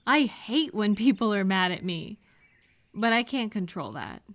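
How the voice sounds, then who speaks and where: A woman playing a supportive, gentle friend in an intimate, casual audio roleplay, speaking in a reassuring, unhurried way to the listener. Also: medium pitch, sing-song. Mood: calm, reassuring.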